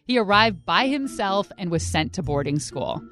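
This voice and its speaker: A woman's voice: medium pitch, expressive, moderate pace. A woman hosting and narrating a food show, moving between scripted storytelling and informal, conversational interview talk in a studio.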